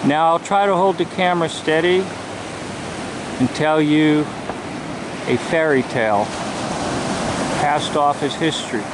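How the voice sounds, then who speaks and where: Slow deliberate delivery, medium pitch, preachy vlogger monologue, short emphatic phrases, outdoor seashore, handheld camera mic, very loud wave noise. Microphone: handheld camera microphone. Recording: loud surf and wind-like noise. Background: ocean waves.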